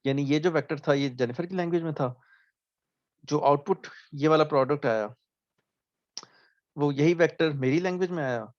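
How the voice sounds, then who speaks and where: A man's voice: a little high, no echo. Man explaining, dull dry recording. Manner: explains slowly, often asks "ठीक है?", restarts words. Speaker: lecturer. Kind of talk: recorded math lecture.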